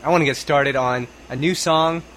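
A man's voice: a little high, steady tone, moderate pace, fairly bright tone, a little hiss or hum. An American man hosting a podcast in casual chat, easygoing and teasing. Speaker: podcast host.

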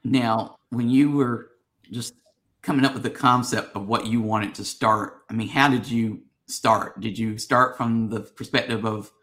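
A man's voice: mid-range voice, fairly even intonation, unhurried, fairly bright tone. A man hosting a casual interview show, asking rambling, friendly questions with plenty of ums and restarts. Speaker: podcast host.

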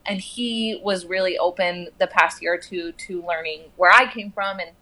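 A woman's voice: on the low side, fairly even intonation, normal speed, bright, forceful tone, poor audio. An American woman from the southwestern United States talking casually in conversation as a guest.

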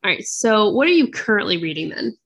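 A woman co-hosting a podcast in a relaxed, easygoing way. She mostly answers her partner in short, agreeable replies in a plain speaking voice. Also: mid-range voice, brisk, husky. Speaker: podcast co-host. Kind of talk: casual chat about books.

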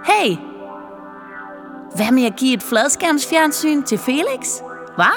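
A high, coaxing voice of a strange, pushy saleswoman in a pink wig, as a woman storyteller puts her on, wheedling and selling hard. The voice rings in a long, hall-like reverb, with soft music under it. Her manner is sugary and insistent. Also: very animated.

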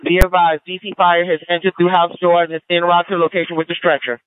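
A man's voice: high voice, little pitch movement, quick pace, clear, resonant tone, dry recording. A male police officer reporting in terse radio procedure over a narrow radio channel.

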